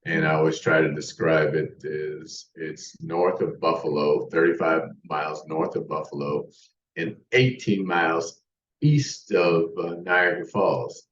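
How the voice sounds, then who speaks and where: A man's voice: extremely low pitch, steady tone, a little slow, fairly bright tone. A man in his sixties, a podcast guest, telling his life story in a rambling way with many restarts. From United States. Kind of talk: casual interview storytelling. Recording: distant roomy muffled remote mic.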